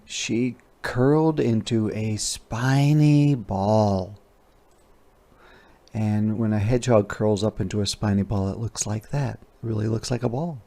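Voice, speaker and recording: Slow-paced man with a creaky voice, reading aloud. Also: lowish voice, warm tone. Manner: explanatory, asks listeners questions, stretches key words. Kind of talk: interactive children's read-aloud.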